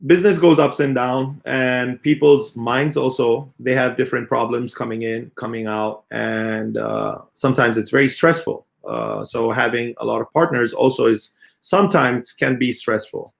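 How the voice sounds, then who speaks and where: A man in his thirties, a company CEO, giving a halting, earnest unscripted answer full of filler sounds. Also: mid-range voice, steady tone, vocal fry. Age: 30s. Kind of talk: unscripted spoken answer in an AMA.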